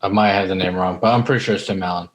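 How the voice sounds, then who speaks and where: A man's voice: low-pitched, steady tone, racing, muffled recording. A man chatting casually on a podcast, informal and prone to swearing. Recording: echoey, roomy sound.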